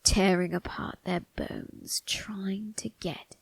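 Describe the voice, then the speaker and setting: A woman playing an eerie undead male undertaker in an ASMR roleplay, calmly menacing and obsessive, speaking in a breathy, creaky whisper with ominous, deliberate phrasing. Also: medium pitch, heavily acted. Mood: brooding, sinister.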